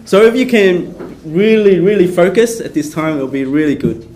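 A soft-spoken man from Vietnam, a Capuchin priest, leading a prayer workshop for a small group in a casual way and leaning on his notes. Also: sits high, animated, moderate pace, a little hiss or hum, rough recording. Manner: soft-spoken, relies on notes, chatty asides. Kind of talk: informal prayer workshop talk.

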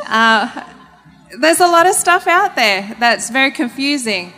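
Bright young Australian woman, echoing hall. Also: a little high. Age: young adult. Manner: friendly, laughs between sentences. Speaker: religious sister. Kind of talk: casual guest talk on stage. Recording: reverberant hall with faint music.

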